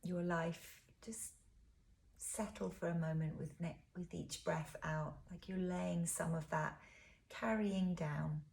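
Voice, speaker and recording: A woman with a low-pitched voice guides a relaxation practice. Her tone is dark and relaxed, with a creaky fry to it. She gives gentle, unhurried instructions, and her phrases often trail off softly and breathily. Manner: soothing, unhurried, gentle instruction with soft pauses. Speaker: relaxation and yoga teacher. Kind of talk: guided body scan meditation.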